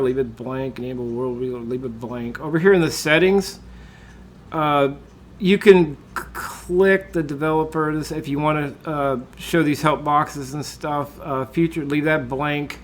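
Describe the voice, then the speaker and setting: A man, congested from a cold, talking through a screen-recorded software tutorial in a relaxed way, with lots of uh and um. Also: fairly high pitch, a little slow. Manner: relaxed, with frequent uh and um fillers. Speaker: tutorial host.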